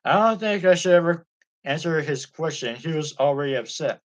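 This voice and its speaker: A man with a fairly high voice reads a biography aloud. His delivery is plain and steady, with occasional slips and restarts. It sounds like an amateur audiobook reading. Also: moderate pace. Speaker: audiobook reader.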